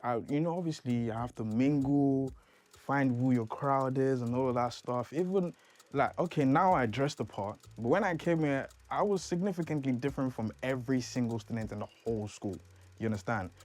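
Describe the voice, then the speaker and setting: A man talking casually and in a laid-back way in a documentary interview, sometimes dropping into quiet asides. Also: mid-range voice, normal speed. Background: faint music.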